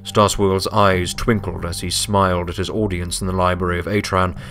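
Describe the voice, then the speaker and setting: A man's voice: low voice, level tone, moderate pace, a bit bright. A man reading a scripted story as an audiobook narrator. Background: music.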